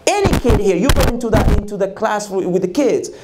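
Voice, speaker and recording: A man's voice: sits high, expressive, brisk. Man teaching, noisy stream. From Dominican Republic. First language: Spanish. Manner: repetitive, drills key phrases and quizzes the audience. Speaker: speaker at a church leadership summit. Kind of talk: leadership teaching talk. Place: church. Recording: noisy live-stream recording.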